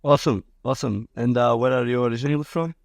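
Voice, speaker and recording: A man's voice: mid-range voice, fairly even intonation, quick pace, gravelly, dry recording, studio quality. A man hosting a podcast, welcoming guests and asking about their backgrounds in an upbeat way. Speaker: podcast host. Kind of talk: podcast introduction.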